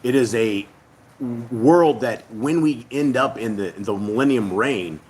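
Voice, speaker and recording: A man hosting a family faith channel who speaks to viewers in a casual, earnest, rambling way, his voice hoarse and partly lost. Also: medium pitch, measured pace, darkish tone, low-quality recording. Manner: earnest, rambling, with many hesitations. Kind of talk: casual spoken address to viewers.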